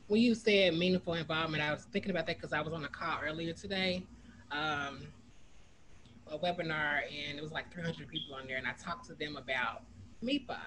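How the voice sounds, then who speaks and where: A community organizer answering a panel question over a video call, earnest and storytelling, with many fillers. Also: mid-range voice. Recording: noisy video call audio.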